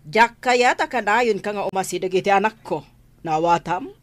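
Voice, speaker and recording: A woman's voice: medium pitch, normal speed, no room sound, natural voice. A middle-aged woman playing a haughty, bossy rich mistress in an Ilocano-accented radio drama, with an imperious manner.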